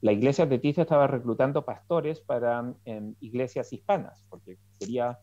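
Guy on a video call, mellow voice, lots of ems and eh's, dull dry audio. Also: medium pitch, a little fast, no room sound. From Chile. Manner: reflective storytelling with many fillers and restarts. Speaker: political scientist guest. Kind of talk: interview over video call. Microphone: video call headset.

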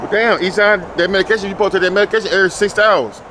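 A man's voice: high-pitched, very fast, noisy background. A man hosting a casual street interview from behind the camera, teasing and repeating himself, outdoors. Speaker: street interviewer and channel host. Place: outdoors by a building and parking lot.

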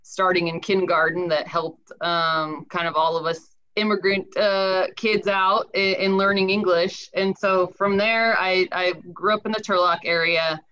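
A woman's voice: lowish voice, level tone, unhurried, a bit bright. A woman in her thirties from California, whose first language is Portuguese, introducing herself steadily with many ums on a video call from an office. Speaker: guest panelist. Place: office, indoors. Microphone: video call webcam. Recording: dull lo-fi call audio.

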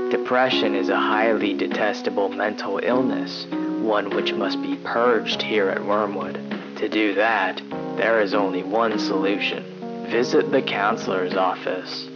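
An actor playing a sinister, authoritarian school infomercial announcer, measured and deadpan, on a worn tape. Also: male voice, fairly low pitch. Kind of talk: scripted infomercial narration. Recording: dull worn VHS-tape sound. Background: loud plucked guitar music.

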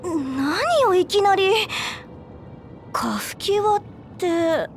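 Very high girlish game townswoman. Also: expressive, drawn-out words, slight background noise, exaggerated voice. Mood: startled, puzzled. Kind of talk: Japanese video game voice acting.

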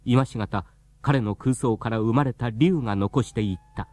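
A man's voice: medium pitch, moderate pace, a little mellow, dry recording, close to an everyday voice. A man narrating a scripted radio drama as the storyteller of an eerie tale. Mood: solemn.

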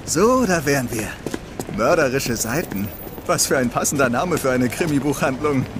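A man's voice: medium pitch, lively intonation, moderate pace, noisy background, close to an everyday voice. An actor playing an easygoing, curious teenage boy with a casual manner in an audio drama.

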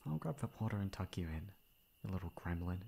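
A man's voice: deep voice, sing-song, quick pace, creaky, mellow, husky, exaggerated voice. A man playing a sweet, teasing boyfriend, tender and playfully exasperated, in an intimate ASMR roleplay. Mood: tender, hushed. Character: sweet teasing boyfriend caring for tipsy girlfriend.

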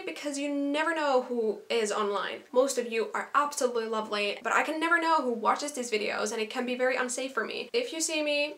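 A woman's voice: a little high, fairly bright tone. A young woman from Finland with a Finnish accent, whose first language is Finnish, chatting earnestly to camera from her small bedroom. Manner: chatty and earnest, with little laughs. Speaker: YouTuber. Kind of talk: casual talk to camera. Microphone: camera in front at close range.

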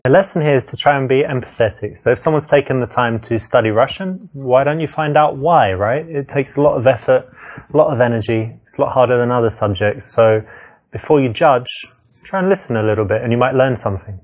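A man's voice: mid-range voice, fairly quick, a little mellow. An English man with a London accent, acting out a brash, cocky dating game scene and then coaching the player in short asides. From England. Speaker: actor in a dating sim, also explaining the lesson. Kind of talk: scripted acted dialogue, with coaching asides.

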